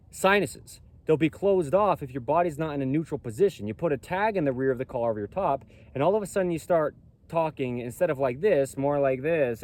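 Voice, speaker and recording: A man with a boomy, projecting voice, a clothing company founder explaining in an emphatic monologue that keeps checking in with "right?" and "okay?". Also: darkish tone, a little hiss or hum. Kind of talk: self-recorded explainer monologue.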